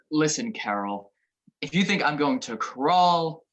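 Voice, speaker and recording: A man's voice: on the high side, animated, slow, fairly bright tone, poor audio. Man acting a wry, sardonic radio detective. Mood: wary, defiant. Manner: dry, sardonic. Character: wry, wisecracking male detective, husband. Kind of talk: 1940s radio crime drama acting.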